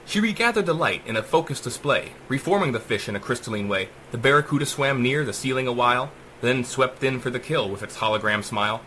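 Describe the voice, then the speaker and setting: A man's voice: medium pitch, animated, a little fast, few pauses, sharp tone, slight background noise, poor audio. A man narrating a rhyming short story as a dramatic audiobook, with theatrical, emphatic delivery. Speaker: author reading own short story.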